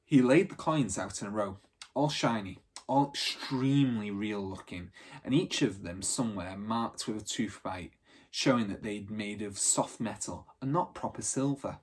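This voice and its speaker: A man reading a children's adventure book aloud as a storyteller, in animated narration. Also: on the low side, normal speed, slightly rough.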